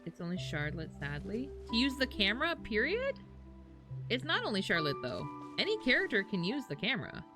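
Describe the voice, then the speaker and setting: A woman's voice: medium pitch, wildly swooping pitch, fairly quick. A woman livestreamer giving casual, blunt and reactive commentary while she plays a game. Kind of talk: casual gaming stream commentary. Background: music.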